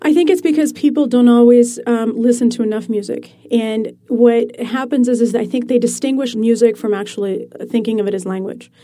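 A woman author being interviewed, explaining her ideas in an animated way and laughing easily. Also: fairly high pitch, a little fast, hardly any pauses. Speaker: author guest. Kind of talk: radio interview.

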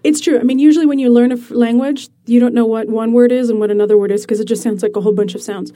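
A woman author being interviewed, explaining her ideas in an animated way and laughing easily. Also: fairly high pitch, rapid-fire. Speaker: author guest. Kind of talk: radio interview.

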